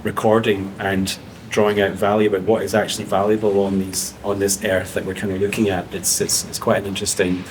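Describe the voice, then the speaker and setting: A man's voice: fairly low pitch, little pitch movement, brisk, hardly any pauses, slight background noise, low-quality recording. A man on a panel, speaking reflectively with fillers. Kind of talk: panel discussion.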